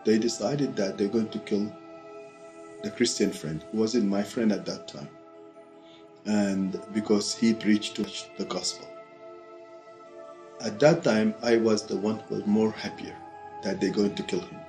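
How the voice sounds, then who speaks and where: Low-voiced middle-aged Sudanese man over music. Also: fairly even intonation, warm tone. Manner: deliberate, short phrases with pauses. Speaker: testimony speaker. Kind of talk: personal testimony told to camera. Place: quiet furnished room with fireplace and bookshelves. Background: background music.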